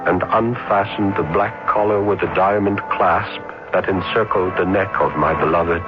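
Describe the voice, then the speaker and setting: An actor playing a haunted young student in a radio drama, grave, halting and horrified. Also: male voice, sits low, steady tone, highs cut off, close to an everyday voice. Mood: horrified, despairing. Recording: band-limited old radio, loud music.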